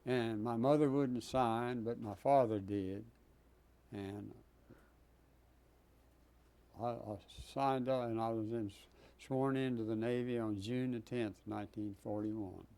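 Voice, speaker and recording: Elderly man in his nineties, mellow and dark-toned, unhurried and halting, pausing often with fillers, sometimes coughing. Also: medium pitch, fairly even intonation, clean tone. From Texas. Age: 90s. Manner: halting, many pauses and fillers. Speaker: Navy veteran guest. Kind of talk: oral history interview.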